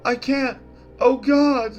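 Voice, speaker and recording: A man's voice: extremely high pitch, steady tone, slow, dull audio, faint music behind, voice pushed up. A man voicing a frightened, desperate woman pleading for rescue in a horror story. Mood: terrified.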